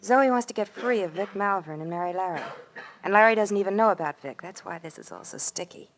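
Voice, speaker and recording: A woman's voice: medium pitch, fairly quick, close to an everyday voice. A woman playing a coy radio ingenue, noisy recording. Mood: confiding. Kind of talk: 1940s-50s radio drama acting. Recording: old radio recording with clear noise.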